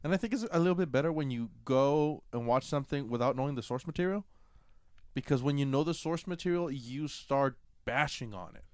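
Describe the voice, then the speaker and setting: A man's voice: mid-range voice, fairly quick, a little mellow, no room sound, studio quality. A man co-hosting a film podcast, giving his opinions in loose, casual banter with some swearing. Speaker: podcast co-host reviewing films.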